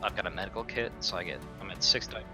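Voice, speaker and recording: A man's voice: low-pitched, fast talker, over music. A man chatting casually as a player at a tabletop roleplaying game.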